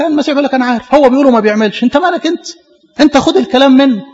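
A man preaches in an Egyptian Arabic accent, his voice pitched high and carried by long hall reverb. He moves quickly, repeating key phrases and posing rhetorical questions. The delivery is emphatic and instructive, mixing colloquial storytelling with scripture. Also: gravelly. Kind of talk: sermon retelling Bible stories in colloquial speech with scripture quotes.

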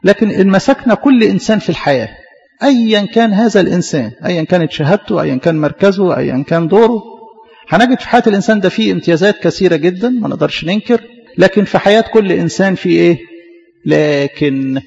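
A man's voice: sits high, lively intonation, very fast, vocal fry, a little mellow, big-room sound, lo-fi sound. A man preaching a sermon in an Egyptian Arabic accent, often asking questions and answering them himself. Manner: questioning, repetitive.

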